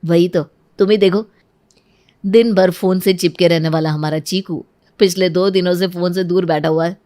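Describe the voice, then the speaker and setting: A woman's voice: lowish voice, steady tone, moderate pace, mellow, close to an everyday voice. Woman acting an affectionate family man. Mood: content. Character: devoted adult son and father, affectionate man. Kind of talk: Hindi cartoon story acting.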